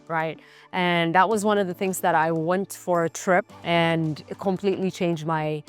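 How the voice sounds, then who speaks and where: A woman in her thirties with an Ethiopian accent, telling her personal story conversationally as an interview guest, often checking in with "right?". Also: lowish voice. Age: 30s. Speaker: insurance agency owner, interview guest.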